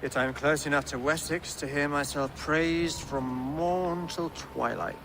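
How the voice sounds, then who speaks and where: A man's voice: fairly high pitch, steady tone, noisy background. An actor playing a dignified, pious Saxon king in a video game, formal and dryly haughty. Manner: measured, regal, formal. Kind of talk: dramatic video game acting.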